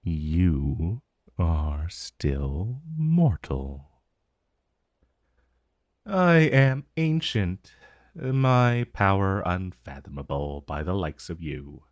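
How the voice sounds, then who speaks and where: A man's voice: low-pitched, big pitch swings, no echo, clean studio sound, exaggerated voice. A man playing an ancient dragon in human form, haughty and teasing with a vague menace, in a theatrical, condescending purr for a fantasy ASMR roleplay. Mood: condescending, menacing. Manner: slow, theatrical, condescending purr with mocking endearments. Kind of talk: fantasy ASMR roleplay audio, addressing the listener.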